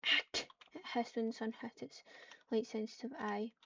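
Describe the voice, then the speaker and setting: A woman narrating an online roleplay game in halting, storybook-style phrases, switching between description and character dialogue. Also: fairly high pitch, fairly even intonation, gravelly, a little mellow, slightly rough. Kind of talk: live roleplay narration mixed with acted dialogue. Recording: muffled lo-fi mic, distant.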